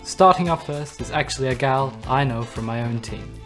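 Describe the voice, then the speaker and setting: A man's voice: medium pitch, moderate pace, lightly acted. Suave, sardonic demon radio host voiced by a man. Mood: breezy, sardonic. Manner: suave, mock-polite, sardonic. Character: smug, theatrical male demon radio host of hell. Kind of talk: scripted comedic radio broadcast. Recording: music under the voice.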